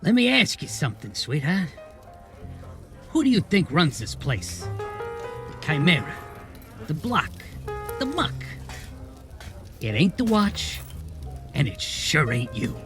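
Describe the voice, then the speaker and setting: Slow, smug noir gangster boss. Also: male voice, fairly high pitch, huge pitch swings, over music, heavily acted. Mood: smug, mocking. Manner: taunting, slangy gangster talk. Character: slimy, smug male crime boss running a bar. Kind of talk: 1940s noir radio drama acting.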